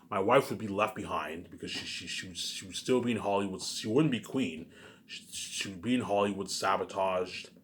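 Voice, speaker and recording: A man ranting alone to his viewers, profane and boastful, who keeps circling back with repeated words. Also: on the low side. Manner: repetitive, keeps adding "obviously". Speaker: self-published writer vlogger. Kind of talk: rambling solo rant to viewers.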